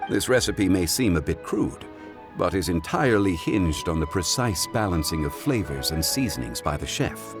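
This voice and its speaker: An actor playing a composed, erudite gentleman in a video game, speaking in measured, formal, eloquent phrases. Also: sits low. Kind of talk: video game cutscene acting. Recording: background music under the voice.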